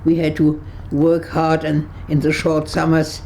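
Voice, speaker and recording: A woman over one hundred, from Berlin, recalling her past in an interview, halting and searching for words with frequent restarts, and laughing easily with dry humor. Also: deep voice, completely flat delivery, dark tone, slight background noise. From Berlin, Germany. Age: 100s. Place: her home on a kibbutz.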